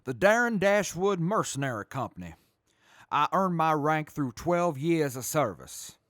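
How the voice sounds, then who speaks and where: A dutiful mercenary major in a radio drama. He is a man with a fairly high voice who answers slowly and carefully, in short formal phrases. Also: lively intonation, fairly bright tone, no echo, clean studio sound. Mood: reluctant, formal. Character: guarded, dutiful male mercenary major on trial. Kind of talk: audio drama acting.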